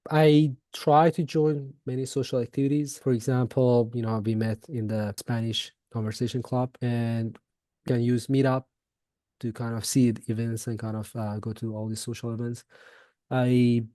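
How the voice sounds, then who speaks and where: Young Iranian-born man, podcast guest, mellow and even-toned, halting delivery. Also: mid-range voice, no room sound. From Iran. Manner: hesitant, many fillers and restarts. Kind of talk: casual interview chat.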